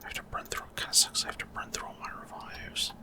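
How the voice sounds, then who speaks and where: Whispering man narrating gameplay. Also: fast. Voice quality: breathy unvoiced whisper. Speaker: gaming ASMR creator. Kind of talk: whispered ASMR gameplay commentary.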